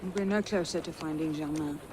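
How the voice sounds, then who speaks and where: A woman's voice: sits low, brisk, natural voice. An actress playing a shrewd young female conspirator in a video game cutscene, with a calculating, dryly witty manner. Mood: grave, dry. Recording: noisy outdoor crowd around the voice.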